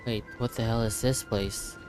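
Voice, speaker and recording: A man livestreaming a game with casual, slangy commentary, often halting and repeating himself. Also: fairly low pitch, steady tone. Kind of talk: casual live gameplay commentary. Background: faint game music.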